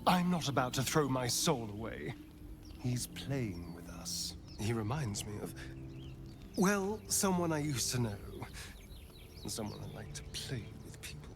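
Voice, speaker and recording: An actor playing a vain, sardonic vampire rogue in a video game, with a theatrical manner. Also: male voice, medium pitch, big pitch swings, unhurried, noisy background. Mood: uneasy. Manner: theatrical, sardonic, swooping emphasis. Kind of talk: dramatic video game acting.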